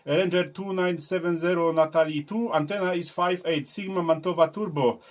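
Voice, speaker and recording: A man from southern Poland, making a CB radio contact in halting English and spelling out call signs. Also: sits high, steady tone. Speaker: CB radio operator.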